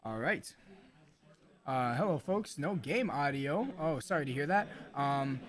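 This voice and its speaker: A slightly hoarse man hosting a live esports stream, commentating casually with informal, joking banter. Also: on the high side, animated, unhurried.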